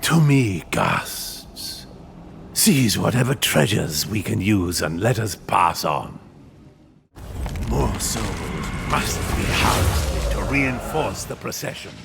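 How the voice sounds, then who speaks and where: Creaking, imperious undead spirit commander. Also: male voice, lowish voice, sing-song, exaggerated voice. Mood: commanding. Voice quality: gravelly, creaking, hissing. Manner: slow, grandiose, menacing, swinging from whisper to shout. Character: imperious undead Nighthaunt spirit commander, cruel, disgusted by the living. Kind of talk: theatrical video game cutscene acting.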